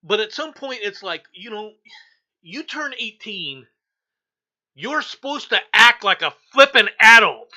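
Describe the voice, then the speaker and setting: A man's voice: sits high, lively intonation, a little slow, bright, forceful tone. A male talk show host giving blunt, opinionated news commentary, repeating himself for emphasis.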